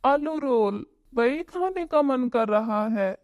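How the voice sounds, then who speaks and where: A woman's voice: high-pitched, animated, takes it slowly, a little mellow, dry recording, clean studio sound. A woman voicing an ailing, grateful village mother in a Hindi cartoon story, drawing out her words. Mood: affectionate.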